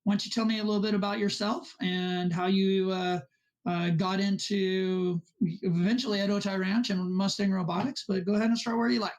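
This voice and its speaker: A man's voice: high voice, little pitch movement, normal speed. A man hosting an online interview, chatty and rambling as he introduces his guest. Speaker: host, robotics club teacher.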